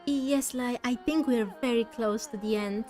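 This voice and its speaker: A woman streamer chats casually with her viewers as she plays. Her voice is high and she speaks slowly and gently, a little haltingly. Soft music plays behind her. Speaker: game streamer. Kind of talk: casual live-stream commentary with chat.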